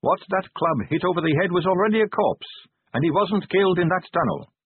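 A man's voice: high voice, brisk, breathy edge, dry recording, phone-quality sound, voice pushed up. Sally, a man voicing an earnest young female constable.

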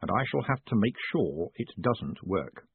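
A man's voice: fairly low pitch, sing-song, measured pace, vocal fry, husky, no room sound, exaggerated voice. Man playing a breezy confident rogue, narrow-band sound. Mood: calmly assured. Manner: breezy, assured. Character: brisk, confident, smooth-talking male rogue in charge.

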